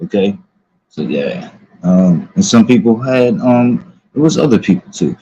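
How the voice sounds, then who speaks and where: A man's voice: on the low side, expressive, a little slow, warm tone, breathy edge. A man hosting a livestream, talking casually to his viewers with lots of fillers and restarts. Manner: rambling, many fillers, addresses viewers. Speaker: streamer host. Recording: dull lo-fi sound.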